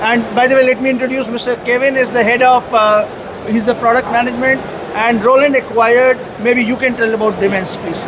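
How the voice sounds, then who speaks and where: Male panel moderator, very high pitch, hesitant, noisy hall. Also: fairly even intonation, a little fast. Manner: hesitant, frequent uhs, introduces others. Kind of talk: panel talk at a trade event. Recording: clear background noise.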